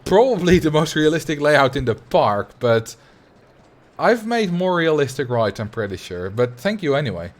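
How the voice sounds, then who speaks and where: Animated man with a Dutch accent. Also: on the high side, moderate pace. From Netherlands, grew up in Drenthe. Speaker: video game livestreamer. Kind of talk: casual chat while streaming a game.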